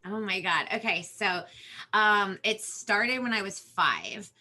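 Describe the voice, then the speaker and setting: A woman's voice: medium pitch, lively intonation, slow, pushed and bright. Bubbly Californian woman with a Valley Girl accent. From Los Angeles, California. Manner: bubbly, laughs often, says like a lot. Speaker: children's singer, guest. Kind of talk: casual interview chat.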